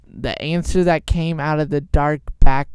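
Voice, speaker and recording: A young man telling an improvised story in a halting, informal way. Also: on the high side, steady tone, darkish tone, smooth, no echo. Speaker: storyteller, show host.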